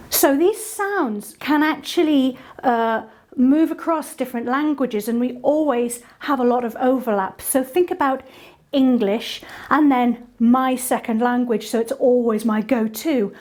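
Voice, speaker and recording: A woman hosting a casual online singing lesson, chatty and playful with quick asides. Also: fairly high pitch, darkish tone. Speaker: singing teacher and host.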